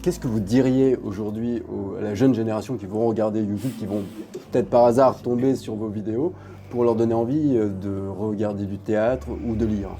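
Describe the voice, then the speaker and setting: Low, mellow male interviewer. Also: steady tone, brisk, a little hiss or hum. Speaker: interviewer, host. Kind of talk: casual interview, questions and brief agreement.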